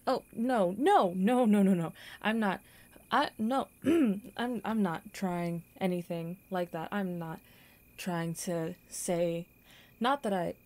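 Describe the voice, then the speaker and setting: A young woman playing a kind, awkward popular girl in an audio roleplay, with halting, stammering, flustered delivery. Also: mid-range voice, darkish tone, close to an everyday voice. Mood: flustered, amused. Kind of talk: intimate audio roleplay acting.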